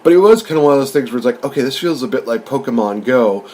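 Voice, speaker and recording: A man's voice: mid-range voice, lively intonation, quick pace, a little mellow. A man hosting a podcast, talking through a film review in an informal, chatty, rambling way. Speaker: podcast host.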